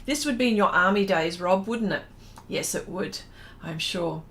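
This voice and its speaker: A woman's voice: mid-range voice, expressive, normal speed, fairly bright tone. A middle-aged Australian woman chatting casually on a livestream, rambling and trailing off as she reads out and answers comments. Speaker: livestream host, artist. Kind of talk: casual livestream chat, reading out viewer comments.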